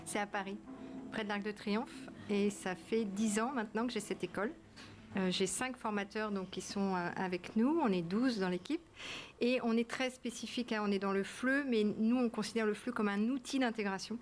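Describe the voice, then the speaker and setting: A woman's voice: medium pitch, moderate pace. A woman answering interview questions as a guest in a TV studio. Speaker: studio guest, language school founder.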